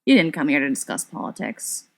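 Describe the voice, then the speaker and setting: A woman's voice: low voice, very animated, fairly quick, pitched below the performer's own voice, exaggerated voice. A woman voicing a friendly, chatty young man in a storybook reading, cheerful and coaxing. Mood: gentle, wry.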